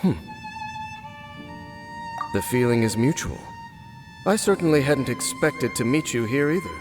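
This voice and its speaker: An actor playing a solemn, guarded former royal guard captain in a video game, speaking formally and with measured, brooding deliberation. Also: male voice, mid-range voice, expressive, over music, close to an everyday voice. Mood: grave, composed. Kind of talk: video game character acting.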